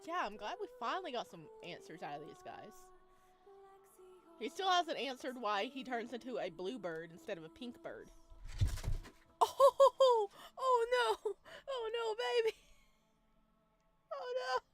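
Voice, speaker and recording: High-voiced woman doing game commentary. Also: expressive, a little slow, pauses often. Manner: reactive, giggly, exclaiming. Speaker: game streamer. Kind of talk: visual novel playthrough commentary, reading text aloud. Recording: game music behind voice.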